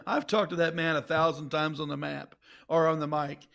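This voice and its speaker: A man with a bright, forceful, fairly high voice comments on a darts tournament. He keeps teasing his co-hosts and laughs often. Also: little pitch movement, moderate pace, gravelly. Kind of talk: bantering sports commentary.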